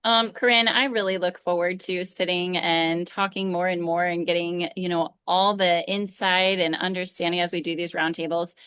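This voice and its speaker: A woman co-hosting a live roundtable, measured and gentle with frequent hesitant fillers. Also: lowish voice, fairly even intonation, clear, resonant tone, no room sound. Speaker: co-host.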